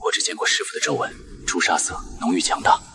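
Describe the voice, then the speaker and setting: A man's voice: quick pace, pushed and bright, a little hiss or hum, lightly acted. An actor playing a cold, proud man of power with a curt, scornful manner in a web-novel drama. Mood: brooding.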